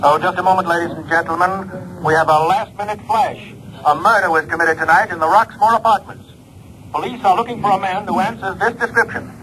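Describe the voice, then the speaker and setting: A crisp, high-voiced newscaster. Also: male voice, steady tone, brisk, a bit bright, rough recording. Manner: formal announcer delivery. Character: brisk male radio newscaster. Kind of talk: 1940s radio acting. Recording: hissy old radio broadcast.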